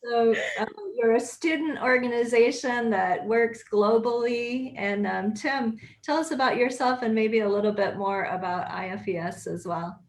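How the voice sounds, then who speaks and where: A woman's voice: a little high, normal speed, hardly any pauses. A woman hosting a casual video call chat, upbeat and friendly, with frequent fillers. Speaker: host.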